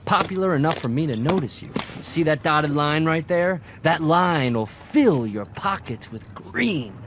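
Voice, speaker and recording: An actor playing a bullying, slick corporate sponsor boss in a comic video game. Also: male voice, a little high, animated, unhurried, fairly bright tone, a little hiss or hum. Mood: slick, wheedling. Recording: music behind the voice.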